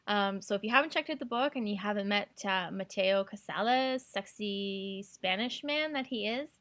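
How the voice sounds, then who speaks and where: A woman's voice: medium pitch, moderate pace, fairly bright tone. A woman hosting a book podcast in an enthusiastic, gushing manner. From Canada. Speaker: podcast host. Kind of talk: book recommendation podcast, casual talk with read-out blurbs and quotes.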